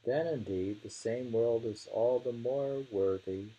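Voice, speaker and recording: A man with a gravelly, husky voice reads translated poems aloud and muses over them informally, deliberate with the verse and tentative in his asides. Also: mid-range voice, warm tone, low-quality recording. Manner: deliberate delivery when reading, musing and tentative in asides. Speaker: reader of poetry.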